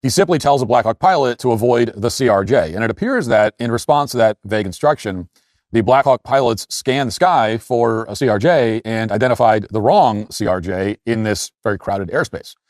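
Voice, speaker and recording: A man hosting an opinion commentary show, speaking in an emphatic, critical way with deliberate phrasing and asides. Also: medium pitch, animated, a little mellow, dry recording, clean studio sound.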